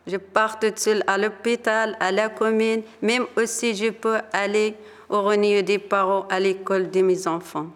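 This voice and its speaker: A woman's voice: mid-range voice, little pitch movement, moderate pace, in a large hall. A mother learning French, giving an earnest testimonial in simple sentences. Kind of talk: spoken testimonial.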